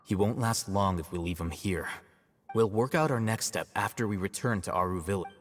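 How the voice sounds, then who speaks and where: A man playing an aloof, self-assured young scholar in a video game, measured, articulate and slightly smug. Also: lowish voice, in a large hall, faint music behind, close to an everyday voice.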